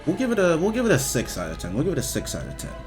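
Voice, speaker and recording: A man's voice: fairly high pitch, wildly swooping pitch, racing, music in the background. A young man giving casual, rambling game commentary, hesitant and repetitive, with informal swearing. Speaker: video game reviewer.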